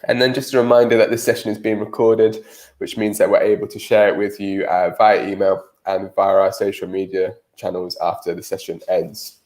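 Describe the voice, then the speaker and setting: A man's voice: on the low side, flat delivery, moderate pace, a little mellow. A British man with a cold and a nasal voice, giving a live-streamed career webinar presentation with frequent ums.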